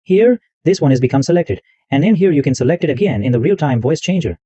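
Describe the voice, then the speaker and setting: A man hosting a tech tutorial, walking through software step by step and repeating himself as he goes. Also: a little high, lively intonation, quick pace, no room sound, highs cut off. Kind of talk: screen-recorded software walkthrough.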